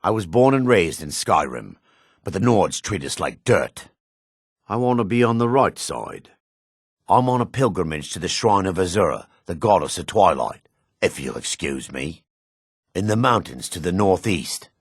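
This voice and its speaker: An actor playing a gruff, haughty dark elf rogue in a video game, with a gravelly, raspy voice and a curt, disdainful, suspicious way of talking. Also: male voice, on the low side, no room sound, studio quality, full character voice. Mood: matter-of-fact. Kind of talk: video game character dialogue.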